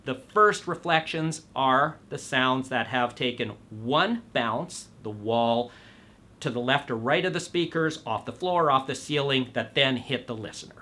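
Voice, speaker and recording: A man in his fifties presenting to camera, explaining things in measured, emphatic phrases with stressed key words. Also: mid-range voice, bright, forceful tone. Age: 50s. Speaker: presenter of an audio show. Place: small indoor studio room.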